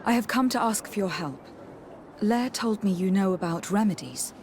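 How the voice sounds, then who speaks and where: A woman playing a composed, courteous young noblewoman diplomat in a video game, speaking formally in measured phrases with a husky voice. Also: mid-range voice, expressive, a little hiss or hum, close to an everyday voice. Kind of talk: video game dialogue acting.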